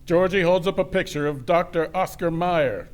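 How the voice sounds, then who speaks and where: Higher-pitched male radio play narrator. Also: normal speed.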